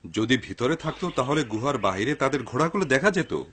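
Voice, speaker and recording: A man playing a cautious, pious father in a dubbed cartoon, grave, measured and admonishing. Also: mid-range voice, expressive, a bit bright, a little hiss or hum, close to an everyday voice. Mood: wary.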